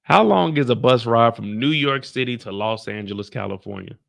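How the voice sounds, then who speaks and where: A man's voice: medium pitch, brisk, vocal fry. A man giving casual, slangy reaction commentary on a TV episode. He talks to the screen with a mild stutter. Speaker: reaction video host.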